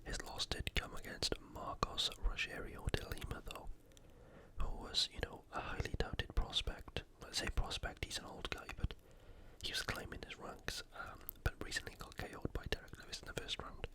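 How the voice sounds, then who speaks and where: An ASMR host speaking in a breathy, unvoiced whisper, giving an informal and slightly hesitant analytical sports preview. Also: moderate pace.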